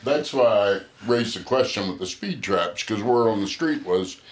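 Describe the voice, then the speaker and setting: A man's voice: fairly low pitch, expressive, normal speed. A man co-hosting a casual conversation, reminiscing and joking about local characters. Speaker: co-host.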